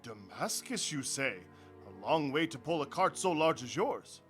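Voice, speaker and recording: A man's voice: on the high side, big pitch swings, measured pace, fairly bright tone, soft background music. An actor playing a generous, trusting man of means in a radio drama, with formal, courtly speech. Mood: hospitable. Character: generous, trusting wealthy householder, courtly host.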